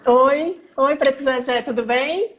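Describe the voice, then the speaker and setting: A woman's voice: sits high, slow pace. Chatty woman with a Ceará accent. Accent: Northeastern Brazilian (Ceará). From Fortaleza, Brazil. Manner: chatty, warm introductions with many asides. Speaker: host, labor prosecutor. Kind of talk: casual live-stream chat. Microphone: phone.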